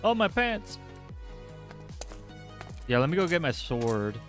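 A man in his twenties chatting casually with his livestream chat while playing, laid-back and quick to laugh. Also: on the high side, big pitch swings. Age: 20s. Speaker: livestream gamer. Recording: game music loud behind. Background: game music.

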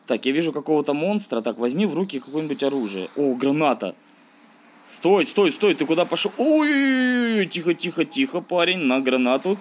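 A man's voice: on the high side, big pitch swings, normal speed. A man giving casual, slangy let's-play commentary, thinking aloud and grumbling as he plays. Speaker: gaming video host.

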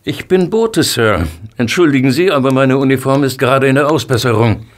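A man's voice: mid-range voice, lively intonation, brisk, natural voice. A man playing a respectful former navy sergeant turned messenger in a German audio drama, formal and deferential. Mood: dutiful.

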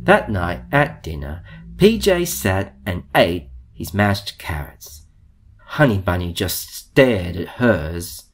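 Very animated man reading a storybook. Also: low-pitched, husky. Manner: theatrical, drawn-out emphasis. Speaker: storybook reader.

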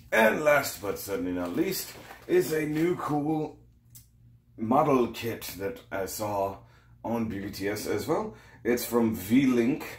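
A man in his thirties with a slightly sore throat, rambling and correcting himself while unboxing toys in his kitchen at home. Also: lowish voice. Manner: rambling, self-correcting, chatty. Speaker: toy and model kit reviewer. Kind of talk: casual unboxing vlog. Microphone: camera in front at close range. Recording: echoey kitchen.

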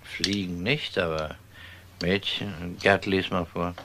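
An unhurried male police detective in a crime radio drama. His voice sits fairly low. He is calm and polite, with a dry, ironic edge to what he says. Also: noisy background. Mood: dryly firm.

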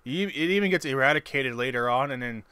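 A man chatting casually while playing a co-op game, halting and often trailing off mid-thought. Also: medium pitch, animated, pushed and bright, clear, resonant tone, no echo. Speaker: co-op gaming video player. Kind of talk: casual gaming banter.

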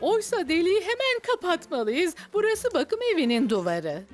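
A woman's voice: very high voice, big pitch swings, moderate pace. A woman voicing a regal, authoritative queen bee in a dubbed children's cartoon, formal and commanding. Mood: concerned.

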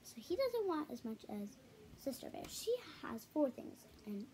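A young girl of about ten to twelve reading a children's picture book aloud haltingly, with stumbles, repeats and casual asides. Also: high voice, very animated, noisy background. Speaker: storybook reader. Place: small living room at home, indoors.

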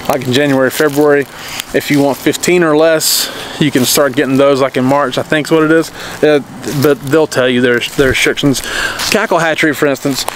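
A man's voice: medium pitch, slight background noise. A man in his thirties talking casually to a handheld camera in his backyard, rambling with restarts and often asking "okay?". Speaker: homesteading vlogger. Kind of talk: casual explainer vlog. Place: backyard outdoors. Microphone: handheld camera at arm's length.

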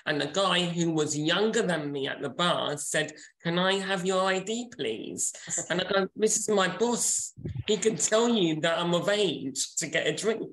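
Man, 40s, enthusiastic podcast chat, gravelly, echoey room. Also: sits high, a little slow, a bit bright. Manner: enthusiastic, chatty. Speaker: podcast co-host and author. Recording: roomy echo.

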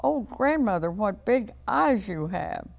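A woman's voice: fairly low pitch, lively intonation, moderate pace, vocal fry, no echo. A woman voicing an innocent, trusting little girl in a storybook, earnest and wide-eyed. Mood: amazed.

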